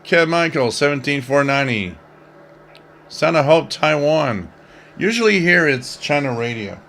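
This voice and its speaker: A man's voice: on the high side, lively intonation, measured pace, a bit bright, a little hiss or hum. A man in his fifties hosting a shortwave radio livestream, chatting casually in a rambling, jokey way as he reads out viewer comments. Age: 50s. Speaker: host of a live shortwave radio stream.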